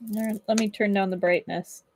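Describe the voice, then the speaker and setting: A woman livestreaming as a book reader, with expressive storybook narration and casual chat. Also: medium pitch, moderate pace, slight background noise.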